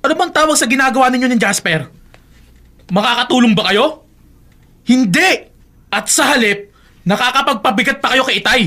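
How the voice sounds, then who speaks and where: A man's voice: very high voice, animated, normal speed, pauses often, sharp tone, exaggerated voice. A man with a Filipino accent playing a responsible eldest brother and dutiful son in a radio drama, earnest and scolding. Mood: reproachful.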